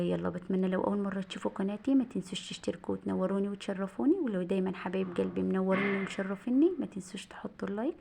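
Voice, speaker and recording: A middle-aged woman with an Egyptian accent chatting casually to her viewers as a cooking vlogger, rambling with many fillers, her voice a little hoarse and tired. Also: mid-range voice, warm tone. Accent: Egyptian Arabic.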